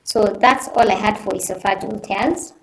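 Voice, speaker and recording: A woman's voice: medium pitch, normal speed, vocal fry. A young woman, a trainee giving an informal class presentation and raising observations. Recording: roomy echo, faint noise.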